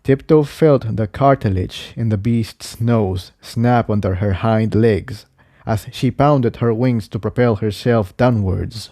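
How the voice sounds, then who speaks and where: A man reading an adventure story aloud as narrator, in a measured, dramatic storytelling manner. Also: on the low side, dark tone, no room sound, clean studio sound. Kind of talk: scripted narration, audiobook-style story reading.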